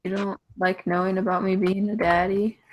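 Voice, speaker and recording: A woman's voice: mid-range voice, flat delivery, moderate pace, highs cut off. A young woman hosting a live stream, chatting casually and teasing her friend. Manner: teasing, joking, laughs often. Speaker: stream host. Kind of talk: casual chat.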